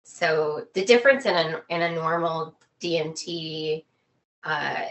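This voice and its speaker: Low-voiced woman explaining earnestly. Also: fairly bright tone, rough recording. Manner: earnest, halting, repeats phrases for emphasis. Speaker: interview guest. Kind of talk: casual conversational explanation.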